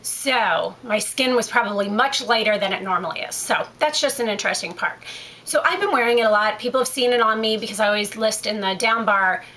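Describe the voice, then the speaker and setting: A woman's voice: medium pitch, a little fast, bright, forceful tone, rough recording. A woman with a head cold, stuffy-sounding, chatting her way through a makeup review with lots of fillers and self-corrections. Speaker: beauty vlogger. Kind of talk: casual makeup review vlog.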